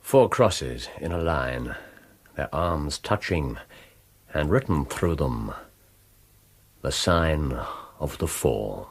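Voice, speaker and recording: A man acting in a radio drama as a cool gentleman detective, questioning in a clipped, deliberate manner. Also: a bass voice, lively intonation, breathy edge, full character voice. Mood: grave, hushed.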